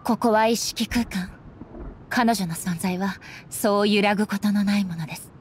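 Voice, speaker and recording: Woman as a solemn anime game war goddess, formal n measured, mid pitch, faint rumble behind. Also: natural voice. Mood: grave. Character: solemn, resolute female warrior deity. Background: faint rumbling noise.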